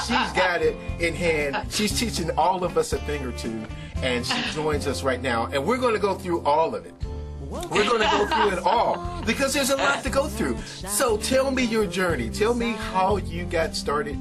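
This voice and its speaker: A man hosting an online interview over a video call, talking in an enthusiastic, effusive way. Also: on the high side. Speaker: interview host.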